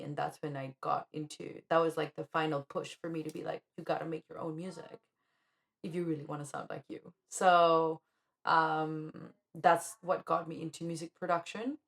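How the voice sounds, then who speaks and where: A woman with a notably low, deep voice talks through her path as a DJ and producer. She is from Colombia and speaks in a relaxed, reflective way, restarting her thoughts as she goes. Her delivery is casual and animated. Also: creaky. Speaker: podcast co-host, DJ and music producer. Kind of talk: casual conversation.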